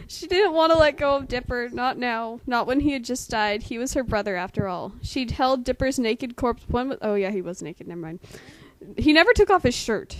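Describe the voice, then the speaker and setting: A woman's voice: a little high, expressive, normal speed. A giggly woman doing an amateur dramatic reading with casual commentary, often breaking into laughter. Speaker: co-reader and commentator. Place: at home in a house. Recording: noisy home recording with clicks.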